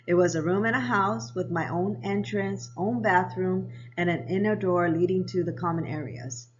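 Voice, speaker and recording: A woman of around 60, raised in Japan and Hawaii, narrating her own life story for a vlog in a measured, read-aloud way. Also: lowish voice, highs cut off. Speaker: vlogger. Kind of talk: scripted personal narration.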